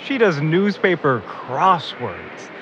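A man playing a gentle, teasing older-brother type in a naturalistic audio drama. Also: a little high, very animated, takes it slowly. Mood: reassuring, teasing. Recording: muffled, with clear traffic-like ambient noise.

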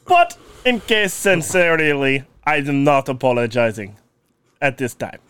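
A man co-hosting a casual podcast, joking around in a put-on Russian accent with slow, deliberate phrasing. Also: medium pitch, very animated, fairly bright tone, smooth, no echo. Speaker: podcast co-host.

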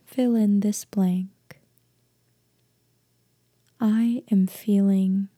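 Breathy, hushed woman guiding a slow meditation. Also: mid-range voice, fairly even intonation, dry recording, studio quality. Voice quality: soft, breathy, half-whispered. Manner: gentle, hushed, deliberate with long pauses. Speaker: meditation guide. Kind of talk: guided meditation, slow soothing instruction.

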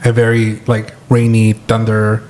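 A young adult man co-hosting a podcast in casual chat, with a raspy voice and laid-back, joking replies. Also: on the low side, monotone, unhurried, a little mellow. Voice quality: raspy today. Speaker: podcast co-host.

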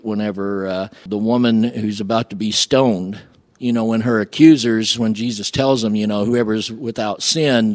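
An American man from Illinois speaking as a radio guest, telling personal stories in a casual, halting, folksy way. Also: fairly low pitch, dry recording. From Illinois, United States.

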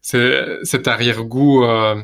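A man's voice: mid-range voice, steady tone, takes it slowly, a bit bright. A man co-hosting a podcast, talking casually with many fillers and repeated words. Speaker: podcast co-host. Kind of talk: casual chat.

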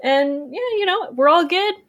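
A woman chats as a podcast guest in an informal, conversational way. Her voice is a bit higher than average. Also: moderate pace, a bit bright, dull audio. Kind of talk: casual chat.

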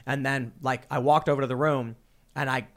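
A man from Chicago in his thirties hosting a podcast, telling stories quickly with lots of restarts. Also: medium pitch. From Chicago, USA. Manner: fast, animated storytelling with many restarts. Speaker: podcast host. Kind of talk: casual conversation.

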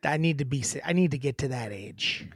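A woman's voice: a bass voice, animated, a little fast, breathy edge, no echo, studio quality. A young woman co-hosting a podcast, blunt and crude, teasing her co-host in casual chat.

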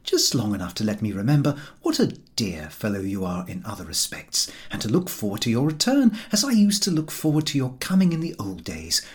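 A man voicing a frank, brisk Edwardian wife, fond yet weary of her husband, in audiobook dialogue. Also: medium pitch, big pitch swings, slightly rough. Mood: fondly soothing.

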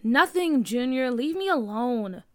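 A woman's voice: fairly high pitch, animated, a little slow, no echo, studio quality. A woman voicing an eager, earnest young boy with a plaintive edge in a children's story. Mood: sulky, downcast. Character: eager young boy, daring but easily shaken. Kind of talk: acted children's story dialogue.

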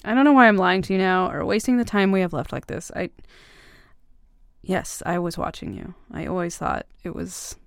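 Young woman, voice actress, creaky voice, teasing older-sister type, casual halting delivery, intimate roleplay, very dry recording. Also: on the low side, no echo. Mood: sheepish, confessional. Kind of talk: intimate ASMR-style romantic audio roleplay.

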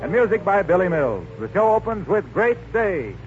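Old time radio announcer guy, slightly high voice, reading script, dull recording. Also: very animated, normal speed, over music. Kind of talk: 1940s radio announcing and scripted sponsor narration.

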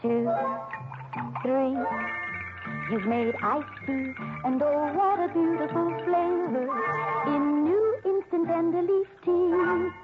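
A woman's voice: extremely high pitch, slow pace. A woman singing a 1960s radio advertising jingle. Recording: phone-like thin old radio recording. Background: loud jingle music.

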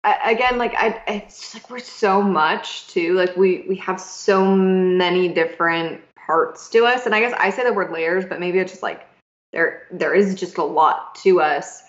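A young adult woman hosting a podcast, musing in a thoughtful, halting way with many fillers and restarts. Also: lowish voice. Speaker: podcast host. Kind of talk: reflective casual conversation. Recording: echoey, dull lo-fi room sound.

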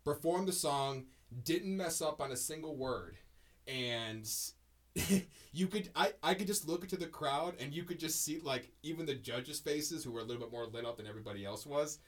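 A man's voice: fairly high pitch, lively intonation, normal speed. A man hosting a podcast in casual chat, telling stories in a rambling, emphatic way, full of ums and restarts. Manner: rambling, heavy on fillers and restarts, emphatic storytelling. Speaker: podcast host.

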